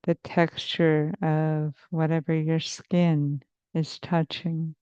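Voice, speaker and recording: A woman therapist leading a guided meditation in a soothing, measured, hypnotic manner with long pauses, on slightly muffled audio. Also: low voice, little pitch movement, warm tone, clear, resonant tone, no room sound. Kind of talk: slow guided meditation narration.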